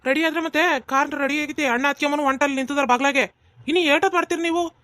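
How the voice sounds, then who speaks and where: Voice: sits high, steady tone, fast talker, bright, forceful tone, dry recording, studio quality. A performer voicing an impatient middle-aged village father in a cartoon, with a North Karnataka Kannada accent. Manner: impatient, hurrying others. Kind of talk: cartoon voice acting.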